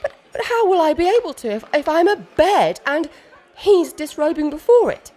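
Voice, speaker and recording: A woman's voice: very high-pitched, sing-song, moderate pace, warm tone. An actress playing a nervous, naive young noblewoman in a period comedy radio play, speaking formally and hesitantly. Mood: anxious, baffled.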